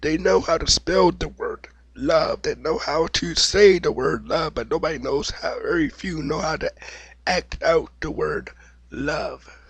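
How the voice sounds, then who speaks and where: A man with a cold and a slightly raspy voice, giving an opinionated, repetitive monologue as the host of his own video. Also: on the high side, measured pace, a bit bright, dry recording, low-quality recording. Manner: opinionated, preachy, repeats himself. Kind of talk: unscripted monologue vlog.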